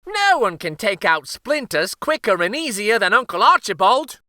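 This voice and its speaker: Man playing a confident palace cook woman, bright. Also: medium pitch, very animated, moderate pace, no echo, studio quality, pitched above the performer's own voice.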